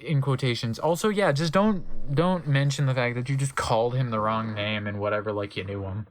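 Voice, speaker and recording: A man's voice: medium pitch, fairly quick. A man narrating a comedic dramatic reading in a theatrical, mocking way with wry asides.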